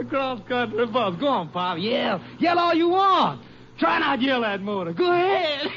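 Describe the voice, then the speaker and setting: A man's voice: very high voice, sing-song, slow pace, full character voice. An actor playing a sadistic young male killer in an old radio crime drama, taunting and sneering. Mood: mocking. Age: young adult. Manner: taunting, sneering, cackling laughter. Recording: muffled, very noisy old radio recording.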